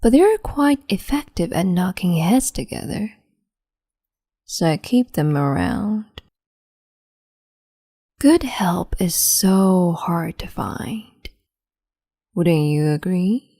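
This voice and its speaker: Woman as cruel empress, condescending, whispery, dark low tone, dry close mic. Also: animated, no echo. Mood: mocking. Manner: slow, mocking, condescending, drifts into whispers. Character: cruel capricious female empress, haughty. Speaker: ASMR roleplay performer. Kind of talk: ASMR roleplay, whispered and soft-spoken.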